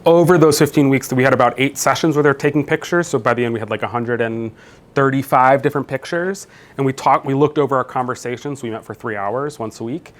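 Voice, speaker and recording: A man in his thirties gives a keynote talk in a conversational, unhurried way, full of fillers and restarts. His voice sits at an ordinary middle pitch. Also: fairly even intonation. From New Jersey, US. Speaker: keynote speaker, professor. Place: conference room.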